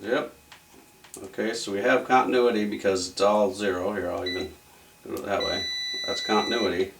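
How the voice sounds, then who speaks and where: A man with a low-pitched voice talks through a hands-on repair in a casual, folksy way. He rambles a little as he thinks aloud. The mic sits some distance from him, with faint noise behind. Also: steady tone, moderate pace, fairly bright tone. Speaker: electronics repair hobbyist demonstrating a fix. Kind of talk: casual off-the-cuff repair narration.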